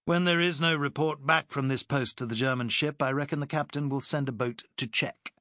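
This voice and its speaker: A man's voice: mid-range voice, steady tone, brisk, pushed and bright, dry recording, clean studio sound, natural voice. Man playing a decisive British officer. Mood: grim. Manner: crisp, commanding.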